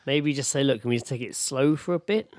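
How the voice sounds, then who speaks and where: A man's voice: medium pitch, fairly quick, a little mellow. A man co-hosting a podcast in casual, comic banter, with a wry, sweary, interjecting manner. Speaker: podcast co-host.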